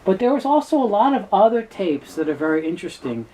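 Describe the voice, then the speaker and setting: A man presenting an informal explainer in a halting, conversational way, repeating words as he goes. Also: high voice, big pitch swings, mellow, rough recording. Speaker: presenter and tape seller. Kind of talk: informal explainer talk on video.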